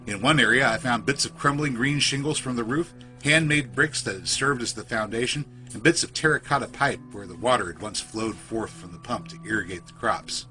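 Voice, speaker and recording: A man narrates a creepy tale in a bright, clear-cut voice that rises and falls with a lot of expression. His delivery is literary and suspenseful, like a scripted horror podcast, with faint music behind him. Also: medium pitch. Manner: literary, measured, suspenseful storytelling. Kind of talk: scripted first-person horror story narration.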